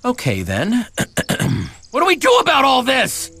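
Excitable, wildly animated young hero. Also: male voice, extremely high pitch, measured pace, slightly rough, heavily acted. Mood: worried. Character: young male hero, excitable, earnest adventurer. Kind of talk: animated video game voice acting. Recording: loud music behind the dialogue.

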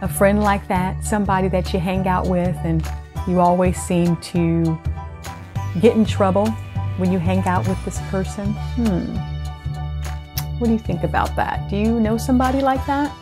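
A middle-aged woman hosting a read-aloud for children, speaking expressively and deliberately into a lapel mic indoors. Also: mid-range voice, fairly even intonation, music in the background. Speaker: children's storytime host.

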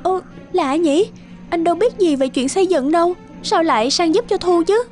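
A woman voicing a gentle, anxious young village wife in a cartoon, soft and pleading, with music behind. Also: very high voice, animated, normal speed, voice pushed up. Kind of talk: animated folk-tale dubbing. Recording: music under the voice.

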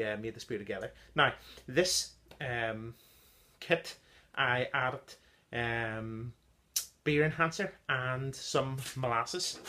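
A man in his thirties talks through a beer he brewed at home, in a relaxed, halting way with frequent ums. He is recorded indoors in a small room on a camera close in front of him. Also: medium pitch. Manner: chatty, halting with many ums, tasting notes. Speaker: home-brew beer reviewer. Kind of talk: casual beer review vlog. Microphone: device camera at close range.

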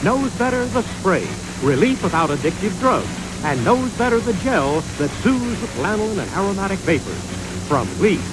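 A man's voice: sits high, big pitch swings, moderate pace, poor audio. An American man reading a scripted promotional voice-over as a sponsor announcer. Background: music.

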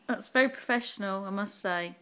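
A woman's voice: mid-range voice, brisk, a bit bright. A woman chatting playfully and casually, teasing and encouraging child performers with mock seriousness and a readiness to giggle. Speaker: audience member at a home puppet show. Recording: noisy amateur home video.

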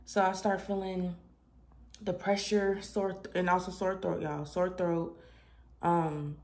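Congested woman talking low. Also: level tone, takes it slowly, darkish tone. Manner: low-key, rambling explanation. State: congested, stuffy nose. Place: apartment kitchen indoors. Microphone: phone camera held close.

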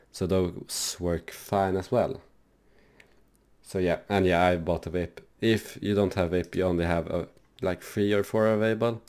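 Low-voiced man rambling through a tutorial. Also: darkish tone. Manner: rambling, loose, many fillers. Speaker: gaming channel host. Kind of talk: casual software tutorial.

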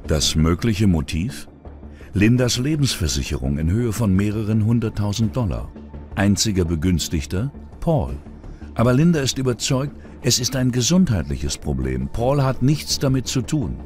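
A man's voice: lowish voice, big pitch swings, normal speed, darkish tone, faint music behind. A man narrating a scripted true-crime documentary in a dramatic, suspenseful way.

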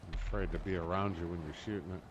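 A man's voice: low voice, fairly even intonation, racing, slight background noise, low-quality recording. A man chatting casually with other players during an online video game over voice chat.

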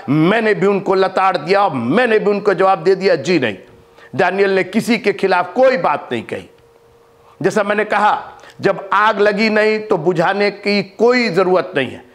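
A man in his fifties preaching a sermon to camera in a studio on a lapel mic, rising to loud emphatic lines and dropping to hushed ones. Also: high-pitched. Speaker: bishop and preacher. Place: studio with green-screen backdrop.

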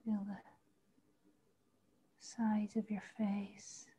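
Slow, soft-spoken woman guiding meditation. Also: medium pitch, completely flat delivery, slight background noise. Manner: slow, soft, partly whispered guidance. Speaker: meditation teacher. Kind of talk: guided meditation. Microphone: video call.

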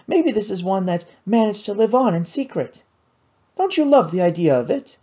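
Raised high above the reader's own register, this is an earnest, well-off young woman, bright-eyed and full of opinions, as a storyteller puts her on. The tone stays mellow and a little husky, and the pitch swings freely as she talks. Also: sing-song, moderate pace. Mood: enthusiastic. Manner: earnest, indignant at unfairness. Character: wealthy, eager, well-meaning young woman.

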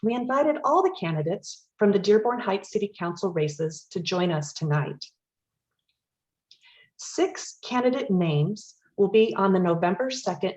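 A woman's voice: mid-range voice, darkish tone. Formal woman moderator, dull lo-fi sound. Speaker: forum moderator.

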